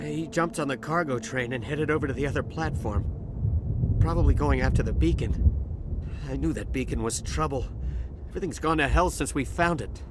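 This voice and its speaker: An actor playing a frightened human dockworker who survived an attack in a video game, stammering and pleading. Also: male voice, mid-range voice, a little fast, background noise, lightly acted. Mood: shaken, anxious.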